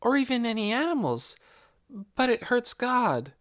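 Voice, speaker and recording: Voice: medium pitch, big pitch swings, unhurried, pitched above the performer's own voice. A narrator voicing an earnest, inquisitive young girl with an insistent, questioning manner in an audiobook. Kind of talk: audiobook character dialogue.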